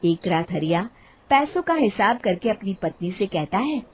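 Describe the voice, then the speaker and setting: Woman narrator, medium pitch, scripted storytelling, animated village tale. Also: normal speed.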